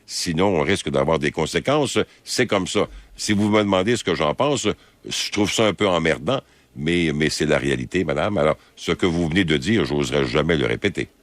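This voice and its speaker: A man with a Quebec French accent hosting an overnight phone-in radio show, speaking slowly and hesitantly with drawn-out fillers. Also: extremely low pitch, animated, no room sound. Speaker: overnight radio call-in host. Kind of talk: late-night phone-in talk radio. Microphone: studio microphone.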